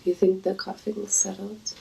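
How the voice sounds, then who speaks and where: A woman with a congested, creaky voice and a cold, chatting casually and intimately to viewers in a flirty, playful manner. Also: mid-range voice, little pitch movement, moderate pace, mellow, a little hiss or hum, rough recording.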